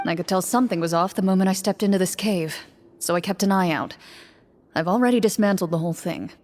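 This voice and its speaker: An actress playing a composed, stern champion duelist in a video game, with a measured, formal and cool delivery. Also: female voice, fairly low pitch, darkish tone, slight background noise, close to an everyday voice.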